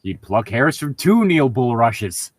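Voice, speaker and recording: A man's voice: medium pitch, big pitch swings, slow, slightly rough, no room sound, clean studio sound. A man co-hosting a casual read-aloud of fan fiction, breaking in with irreverent, sweary, joking commentary.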